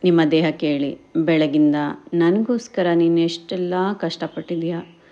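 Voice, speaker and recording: A woman guides a meditation in gentle, encouraging instructions, addressing listeners as friends and mixing in English words. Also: on the low side, fairly even intonation, moderate pace, dull audio, soft background music. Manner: mixes English words and phrases into speech.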